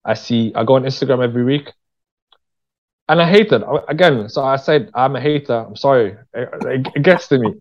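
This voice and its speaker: A man's voice: mid-range voice, a little fast, highs cut off. A man speaking as an interview guest in a casual, candid, slangy chat, often repeating phrases for emphasis. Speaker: interview guest, screenwriter and director.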